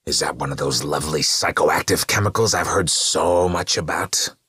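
A man voicing a shapeshifting monstrous serial killer in an audiobook, a loud, mocking, theatrical showman full of gleeful menace. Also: very deep voice, normal speed, husky, no echo, studio quality, heavily acted. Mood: mocking delight.

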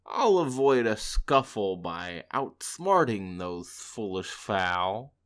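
Greedy male fox villain voiced by a woman; low, sneering, slow, wildly swinging delivery. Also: big pitch swings, full character voice. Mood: scheming. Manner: sneering, scheming, gloating. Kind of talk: storybook reading.

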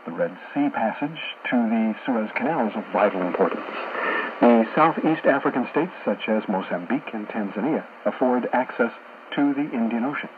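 A man working as a radio host and journalist, reading scripted discussion in a measured, formal, informative way over shortwave radio. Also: medium pitch, lively intonation. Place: radio studio, heard over a shortwave receiver. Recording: muffled noisy shortwave radio broadcast.

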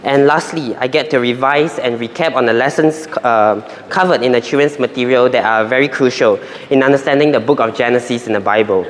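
A man's voice: mid-range voice, fairly quick, hardly any pauses. A young man, a native Chinese speaker, giving an earnest prepared testimony at a church meeting with a handheld microphone. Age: young adult. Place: church meeting room, indoors. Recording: roomy reverb, faint noise.

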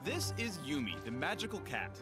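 A man's voice: a little high, very animated, brisk. A man delivering scripted promotional narration for a game spotlight. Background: loud music.

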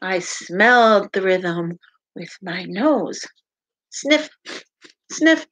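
A middle-aged woman teacher reading a picture book aloud to children, with deliberate sing-song emphasis on repeated words. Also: medium pitch, lively intonation, fairly bright tone, slight background noise, rough recording. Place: home kitchen, indoors.

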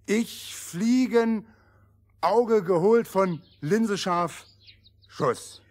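Casting: odd eager sprinter for children's audio play, raspy, very slow broken phrases. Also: male voice, high-pitched, darkish tone, exaggerated voice. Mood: eager, clipped. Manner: clipped, broken telegraphic sentences. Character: eager one-legged runner, simple and clipped of speech.

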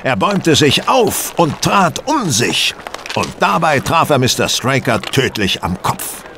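A man playing a shrewd, self-assured detective who explains things deliberately in a German audio drama. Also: mid-range voice, big pitch swings, background noise. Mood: explanatory, dramatic.

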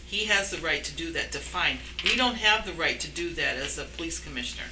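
A woman's voice: low voice, lively intonation, a little fast. A woman arguing firmly and challengingly as a board member at a public meeting. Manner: firm, challenging. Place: municipal meeting room. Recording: echoey, noisy room, distant microphone.

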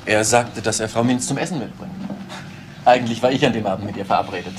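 A man's voice: fairly low pitch, quick pace, noisy background, close to an everyday voice. A man playing an earnest, loyal scientist in a German crime radio drama. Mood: earnest, defensive. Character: earnest male scientist, loyal and admiring colleague.

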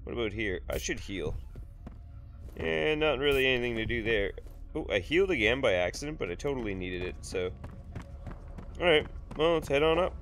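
A congested man in his thirties talks his way through a video game at his desk, his voice thick and nasal from a sinus cold. He rambles, jokes and curses casually in a lowish register. A faint hiss sits under the recording. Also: a bit bright. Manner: rambling, jokey, swears freely. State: sick with stuffy sinuses, nasal. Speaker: gaming YouTuber. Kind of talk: casual let's play commentary. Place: home room at a desk.

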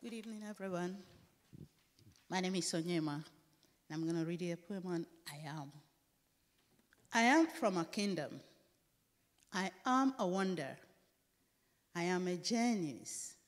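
A woman's voice: fairly low pitch, expressive, measured pace, long pauses. A woman reciting a poem of short affirmations on a concert stage, stating each line firmly into a handheld mic. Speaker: poetry reader. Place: wood-panelled concert hall stage. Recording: echoey concert hall.

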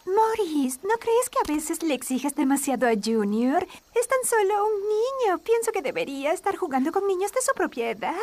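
A very high, lively female cartoon animal. She is a caring friend who gently reproves, with swooping intonation. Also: moderate pace. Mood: concerned.

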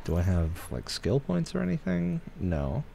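A man's voice: on the low side, huge pitch swings, moderate pace, creaky, dark tone, slight background noise. A young man giving casual, critical, bemused commentary as he streams a video game.

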